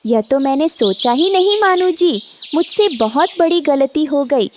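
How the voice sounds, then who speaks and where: Caring lady bird for a cartoon voiced by a woman: high, lilting, sweet, respectful, faint chirping noise behind. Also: animated, unhurried. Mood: apologetic. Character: kind, polite, caring female bird, dutiful wife. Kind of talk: Hindi animated moral story dialogue.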